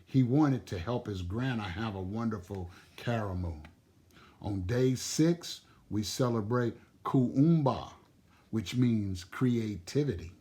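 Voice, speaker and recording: A man telling and explaining a children's story in a deliberate, expressive way. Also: on the low side.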